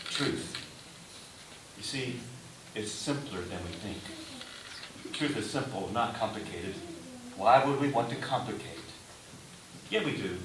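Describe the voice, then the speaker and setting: A man preaching a sermon in a deliberate way, with rhetorical questions and emphatic short phrases. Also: on the low side. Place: reverberant indoor room before a congregation. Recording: echoey room, distant mic, faint hiss.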